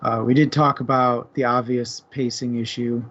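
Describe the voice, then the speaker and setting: A man's voice: mid-range voice, flat delivery, normal speed, fairly bright tone, highs cut off. A man co-hosting a casual book discussion, speaking informally and loosely.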